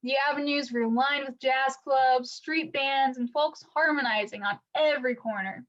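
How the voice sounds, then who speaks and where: A woman reading a picture book aloud for children like a teacher, with expressive, deliberate storytelling. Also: sits high, muffled recording.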